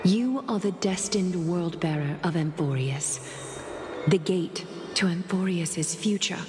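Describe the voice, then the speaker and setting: An actress playing a dignified, composed woman leader in a game, speaking formally and tenderly in a solemn, measured way. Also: lowish voice. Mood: solemn, hopeful. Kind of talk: scripted dramatic game voice acting, monologue. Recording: echoey hall reverb with music behind. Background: music.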